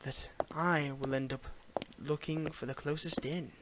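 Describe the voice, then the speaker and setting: Man playing a soft-spoken courteous Victorian footman, noisy recording. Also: a little high, fairly even intonation, natural voice. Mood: courteous, smitten. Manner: formal old-fashioned phrasing, gentle, halting, lapsing into whispers. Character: courteous, flirtatious Victorian footman, weary, gentlemanly. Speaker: audio roleplay performer. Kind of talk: intimate ASMR roleplay acting.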